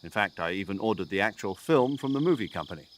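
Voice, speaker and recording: An actor playing a talkative military commander giving a mission briefing over the radio in a game cutscene. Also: male voice, sits low, lively intonation, fast talker. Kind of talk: video game cutscene acting.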